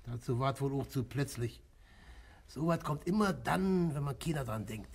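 A placid, philosophical pub companion in a vintage radio play. His voice sits at a middle pitch. He talks in homely, agreeable phrases. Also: moderate pace, gravelly, slightly rough. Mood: resigned. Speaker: actor. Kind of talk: 1960s German radio play acting.

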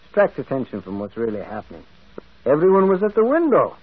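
A male actor playing a gentle, shrewd priest detective in a radio mystery, musing and hesitant, often repeating words. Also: mid-range voice, wildly swooping pitch, quick pace, husky. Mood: shrewd, explaining. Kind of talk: vintage radio mystery acting. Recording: dull, muffled old radio recording.

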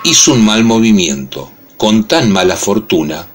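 A man's voice: lowish voice, big pitch swings, measured pace, breathy edge. A man delivering scripted narration for a radio soap opera. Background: music.

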